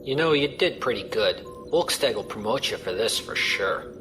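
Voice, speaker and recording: A man's voice: on the low side, animated, normal speed, over music, lightly acted, low-quality recording. An actor playing a chummy, teasing pirate crewman in an audio drama.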